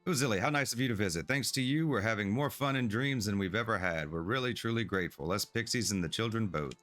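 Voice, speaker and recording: A man's voice: fairly low pitch, quick pace, a bit bright, lightly acted. A man voicing a small, playful, carefree fairy pixie in a sing-song, gushing manner while reading game dialogue aloud. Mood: grateful.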